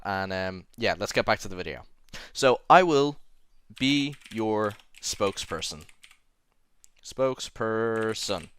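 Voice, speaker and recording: A young man with a mixed English-Irish accent talks through a how-to tutorial in a loose, chatty way, correcting himself as he goes. His voice is smooth and clear, mid-pitched, recorded dry and close on a desk mic in a small home room. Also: a bit bright, no room sound. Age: young adult. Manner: chatty, self-correcting, apologetic asides. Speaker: tutorial host.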